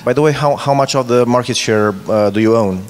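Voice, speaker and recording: A man's voice: medium pitch, fairly quick, clean tone. A man in his thirties moderating a casual question-and-answer interview at a conference. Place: indoor conference stage. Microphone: handheld microphone. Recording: reverberant hall sound.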